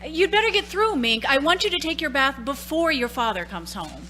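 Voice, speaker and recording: A woman plays a nervous, faltering suburban mother in an old-fashioned radio drama. Her voice sits fairly high and swings expressively, with slow delivery, and music plays clearly behind her. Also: big pitch swings, sharp tone, heavily acted. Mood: uneasy, motherly. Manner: hesitant, faltering, theatrical. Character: anxious 1940s-style suburban housewife and mother. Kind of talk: stage radio-play acting.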